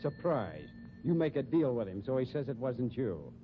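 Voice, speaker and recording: A man's voice: medium pitch, big pitch swings, normal speed, background noise, close to an everyday voice. An actor playing a crooked, cynical circus tough guy in a 1950s radio mystery, blustering in hoodlum slang. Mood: wary, sarcastic. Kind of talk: 1950s radio crime acting. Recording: muffled old radio recording.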